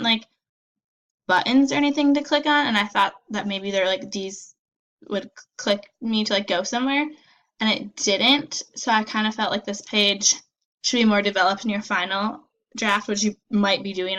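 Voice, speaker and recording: A woman's voice: mid-range voice, fairly bright tone, lo-fi sound. A young woman giving peer feedback in a casual, upbeat way, full of likes and ums, a little nasal from a cold. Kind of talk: casual spoken review.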